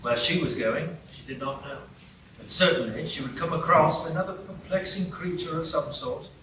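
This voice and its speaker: A man's voice: a little high, pushed and bright. Man narrating a live radio play. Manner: storytelling, measured. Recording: echoey stage from far off, noisy.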